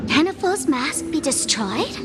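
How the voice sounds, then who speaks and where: A woman's voice: high voice, big pitch swings, unhurried, over loud music. An actress playing a playful, theatrical young female streamer and trickster in a video game, cocky and self-admiring. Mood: curious.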